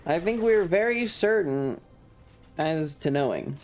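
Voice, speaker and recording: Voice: mid-range voice, lively intonation, unhurried, soft background music. A streamer giving casual, profane and sarcastic game commentary to a live chat on a close mic.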